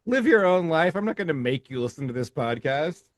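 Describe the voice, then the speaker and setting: A man co-hosting a podcast in casual chat, animated and laughing often, restarting his sentences as he talks. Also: a little high, fairly quick, dry recording, studio quality. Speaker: podcast co-host.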